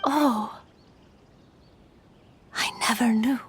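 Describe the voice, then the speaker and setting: Game role: melancholy female spirit, slightly high, unhurried, hesitant, guilt-ridden, breathy. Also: slight background noise. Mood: surprised. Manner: hesitant, sorrowful. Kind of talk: video game voice acting.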